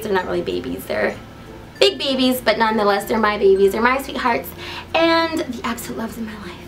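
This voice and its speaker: A woman's voice: on the high side, fairly bright tone, rough recording. A young woman with an American accent chatting casually to camera as a vlogger, in a giggly, upbeat and talkative manner. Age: young adult. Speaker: vlogger introducing herself.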